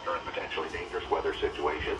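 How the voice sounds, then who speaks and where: A man reading a formal, measured weather service announcement, heard through radio speakers. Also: extremely low pitch, level tone. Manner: measured, impersonal. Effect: played through weather radio speakers. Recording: radio speakers picked up by phone, very noisy.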